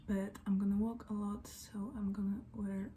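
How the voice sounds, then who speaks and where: A young woman who is not a native English speaker, chatting in a soft, often half-whispered, rambling way as she does her makeup at home in front of a mirror. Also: mid-range voice, little pitch movement, moderate pace, slight background noise. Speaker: beauty and book vlogger. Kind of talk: get-ready-with-me chat.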